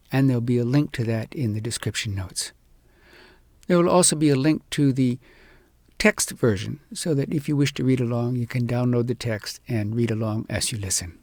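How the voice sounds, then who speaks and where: A man reading a fairy tale as an audiobook storyteller, in an expressive, measured storybook delivery. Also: mid-range voice, no room sound, studio quality.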